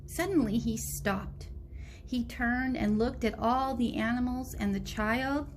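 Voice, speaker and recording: A woman teacher reading a storybook aloud to young children in a deliberate, direct way. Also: a little high, slight background noise.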